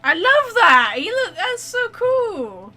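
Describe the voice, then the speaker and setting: A woman gives casual gameplay commentary in a bright, high voice. She is excitable and blunt, her pitch jumping around as she reacts, with faint music behind her. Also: slow pace. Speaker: game streamer.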